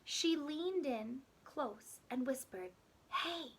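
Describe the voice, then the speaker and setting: A woman reading a children's picture book aloud like a teacher, expressive and deliberate, with dramatic pauses. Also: sits high, low-quality recording.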